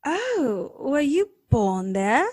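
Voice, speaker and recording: A woman's voice: high-pitched, big pitch swings, very slow, a little mellow, no room sound, studio quality, full character voice. An actress playing an earnest, tender-hearted and naive young lady in an old-time radio drama, questioning and emotive in her delivery. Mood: polite, puzzled. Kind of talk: live recreation of 1930s radio drama.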